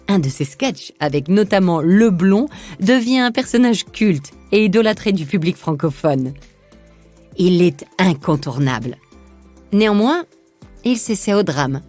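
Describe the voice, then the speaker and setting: A woman's voice: low voice, darkish tone. A woman narrating a scripted biographical podcast.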